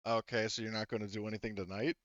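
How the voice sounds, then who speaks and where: A man's voice: fairly low pitch, flat delivery, quick pace, fairly bright tone, dry recording. A man acting as game master, explaining and narrating in an informal, conversational way on slightly muffled audio. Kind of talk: casual table talk and narration.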